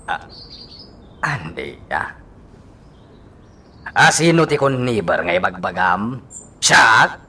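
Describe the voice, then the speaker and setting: A man's voice: fairly low pitch, very animated, takes it slowly, long pauses, husky, full character voice. A man with an Ilocano accent playing an arrogant, threatening male congressman villain in a radio drama. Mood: angry. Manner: threatening, domineering. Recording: loud music bed behind voice.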